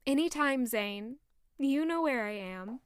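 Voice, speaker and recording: An actress playing an earnest young maid who narrates her own story, polite and soft-spoken. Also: high voice, animated, measured pace, slight background noise. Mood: gentle. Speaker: maid and first-person narrator. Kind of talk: audio drama acting and narration.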